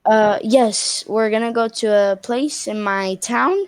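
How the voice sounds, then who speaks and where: A woman's voice: a little high, steady tone, slow, clear, resonant tone, no echo. A young girl of about twelve, from Spain, chatting casually on a video call and filling her talk with "like" and restarts. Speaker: stranger on a video chat.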